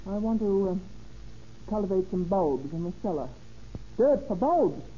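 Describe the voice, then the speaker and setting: Scheming murderous lady, old radio melodrama, very mellow dark voice, commanding, dull noisy vintage recording. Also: medium pitch, very animated, measured pace. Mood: feigned casual. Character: cold, scheming murderous woman renting a house. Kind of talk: 1940s old-time radio drama acting.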